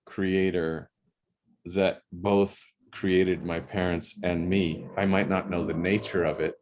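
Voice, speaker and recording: A man's voice: low-pitched, level tone, slow, background noise. A man hosting an interview, speaking reflectively and repeating words as he searches for the right ones. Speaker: interview host. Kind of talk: casual interview chat.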